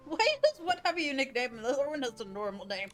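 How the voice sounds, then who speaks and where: Animated woman streamer chatting over game music. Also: high voice, very fast. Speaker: game livestreamer. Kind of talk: casual play-along commentary.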